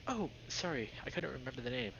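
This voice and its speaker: A man's voice: medium pitch, sing-song, fast talker. Man voicing a wary panda homeowner, lo-fi. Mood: puzzled. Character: wary male panda homeowner, puzzled and reluctant. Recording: dull lo-fi microphone, faint noise.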